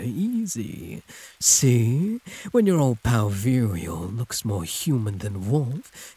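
A hulking male werewolf, played by a man, purrs possessively in an intimate audio roleplay. His voice is extremely husky, with swooping pitch and an unhurried drawl. He teases like a predator toying with prey. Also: warm tone, heavily acted. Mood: soothing, teasing. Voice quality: husky, breathy. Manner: drawling, teasing pet names, predatory purr. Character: possessive, growling male werewolf prisoner, huge and teasing.

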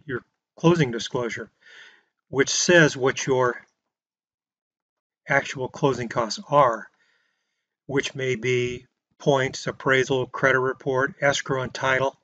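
Male instructor, mid-pitched, steady lecture voice-over; dry, slightly muffled recording. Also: unhurried, pauses often, no room sound. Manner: plain explanatory delivery with restarts and filler words. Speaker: real estate instructor. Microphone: voice-over on slides.

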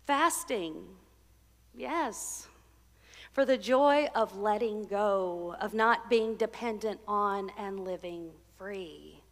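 Slow-talking woman pastor in a reverberant church. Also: on the high side. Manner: conversational and playful, shifting to soft reflective emphasis. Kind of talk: sermon. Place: church sanctuary, indoors. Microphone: stand mic at a pulpit.

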